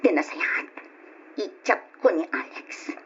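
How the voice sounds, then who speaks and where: A woman's voice: lowish voice, lively intonation, a little slow, fairly bright tone. An actress playing a betrayed, bitter and wounded young woman in an old-fashioned radio drama. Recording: muffled, noisy old radio recording.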